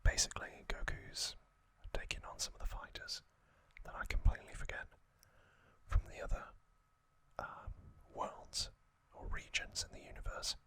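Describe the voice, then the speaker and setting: A man hosting an ASMR video, whispering a casual, opinionated fan recap with frequent pauses and ums. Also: pushed and bright. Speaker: ASMR host.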